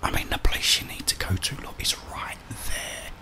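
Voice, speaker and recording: Whispering Englishman, ASMR game commentary. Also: normal speed. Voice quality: breathy unvoiced whisper. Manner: hushed, muttering to himself with tag questions. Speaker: ASMR gaming streamer.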